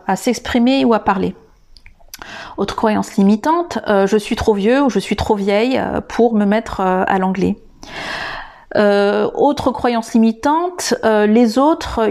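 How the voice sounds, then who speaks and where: A woman hosting a podcast lesson, talking to the listener informally and pausing often with filler sounds. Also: fairly high pitch, a little mellow. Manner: hesitant, many filler sounds and restarts; addresses the listener directly and informally. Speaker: English teacher and podcast host.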